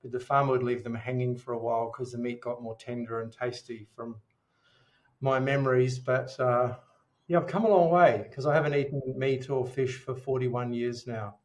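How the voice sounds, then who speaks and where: A middle-aged man with a New Zealand accent, speaking as an interview guest in a measured, reflective way. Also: mid-range voice, steady tone. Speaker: podcast guest, dietitian. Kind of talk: interview storytelling.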